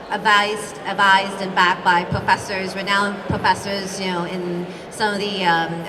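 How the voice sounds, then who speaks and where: A woman venture investor talks informally at a panel, often searching for her words. Her medium-pitched voice is caught away from the mic, with clear noise around it. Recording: distant mic, noisy room.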